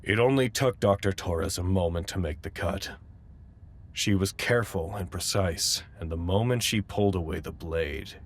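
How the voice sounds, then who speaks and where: An actor playing a fussy, self-important documentarian narrating a measured first-person log in an audio drama. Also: male voice, low-pitched.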